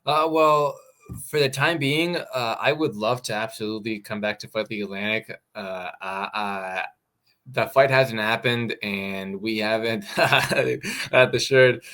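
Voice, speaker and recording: A man with an American accent, a fighter answering interview questions in a halting way, repeating words as he goes. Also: fairly low pitch, bright, forceful tone. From Mexico. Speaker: fighter guest. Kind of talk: casual interview.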